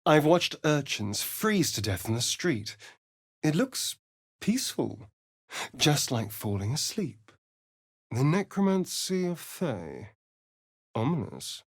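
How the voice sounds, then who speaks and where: A man's voice: a little high, big pitch swings, slow pace, pauses often, breathy edge, dry recording, clean studio sound, full character voice. An actor playing a sly, vain, sardonic male vampire rogue in a video game, with an arch, drawling, theatrical delivery. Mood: wry, subdued. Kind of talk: theatrical video game character lines and narration.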